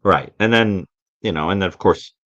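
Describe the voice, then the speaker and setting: A man speaking reflectively as a guest expert in a live-stream conversation, musing and emphatic, halting and repeating phrases. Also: deep voice, a bit bright, dry recording, highs cut off.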